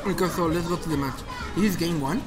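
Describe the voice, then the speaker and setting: A man's voice: on the high side, fast talker, over a noisy crowd. A man from Mexico with a Mexican Spanish accent, casually commentating a fighting-game tournament in English as a second language, chatty and laughing. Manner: chatty, laughing, playful asides. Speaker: esports commentator. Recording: music playing loudly behind. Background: loud game music.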